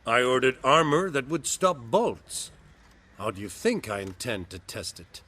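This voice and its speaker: An actor playing a haughty general of an invading empire in a fantasy game, formal, commanding and disdainful. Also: male voice, medium pitch, very animated, measured pace, sharp tone, husky, full character voice. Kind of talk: fantasy video game acting.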